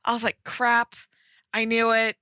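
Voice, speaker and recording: Bright, excitable young woman, higher voice. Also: measured pace, no echo, highs cut off. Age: young adult. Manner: giggly, excitable, teasing. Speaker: streamer. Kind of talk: casual livestream banter with a friend.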